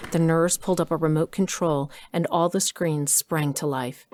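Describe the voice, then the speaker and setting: A woman acts a determined mother and doctor telling her story in a scripted audio drama. Her voice sits slightly low, stealthy and resolute, with faint music behind it. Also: fairly even intonation, moderate pace, a little mellow, close to an everyday voice. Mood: measured suspense. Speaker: narrator and lead actor.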